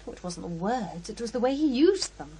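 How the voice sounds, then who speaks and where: An unflappable female investigator in a horror radio play. Her voice sits fairly high. She is blunt and matter-of-fact, with a wry edge. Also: big pitch swings, a little fast, dark tone, slightly rough, exaggerated voice. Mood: wry, certain. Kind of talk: horror radio drama dialogue.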